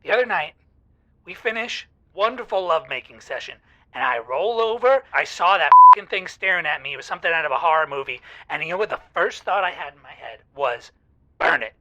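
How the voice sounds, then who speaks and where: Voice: mid-range voice, expressive, brisk, bright, forceful tone, a little hiss or hum, poor audio. An actor playing an exasperated, lovelorn radio caller in a semi-improvised audio drama, dramatic, emphatic and sweary. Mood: disgusted. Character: exasperated, lovelorn adult caller, craving commitment.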